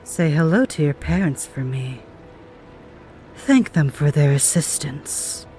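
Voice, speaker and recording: Woman acting a slow, cold villainess doctor. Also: low voice, expressive, dark tone, faint music behind. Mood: taunting. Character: menacing female doctor villain, cold and taunting. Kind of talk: audio drama acting.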